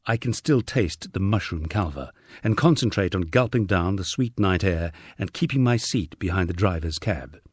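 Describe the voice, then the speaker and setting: A man's voice: low voice, lively intonation, moderate pace, slightly rough, dry recording, studio quality. A man reading a first-person memoir as an audiobook, in a wryly understated storytelling manner. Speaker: audiobook narrator.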